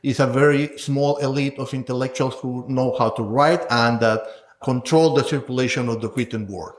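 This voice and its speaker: A man's voice: mid-range voice, steady tone, normal speed. Echoey man explaining academic ideas in conversation. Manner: thoughtful, explanatory, tags phrases with "no?" and "right?". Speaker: sound scholar, interviewed guest. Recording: echoey room.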